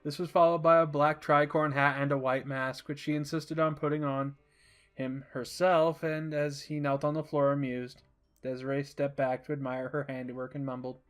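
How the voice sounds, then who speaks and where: Male story narrator, slightly high voice, scripted reading, music underneath.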